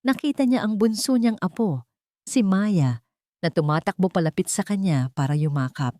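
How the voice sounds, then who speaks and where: A woman's voice: mid-range voice, expressive, normal speed, warm tone, no echo, studio quality. A woman narrating a dramatic, scripted love and family story as a storyteller.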